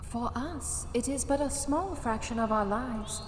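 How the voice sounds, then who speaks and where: A solemn female alien from an audio drama, played by a woman, speaks in a high voice with formal, measured phrasing. A long hall-like reverb surrounds the stranded, ageless being. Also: a little hiss or hum, lightly acted. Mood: solemn, resigned.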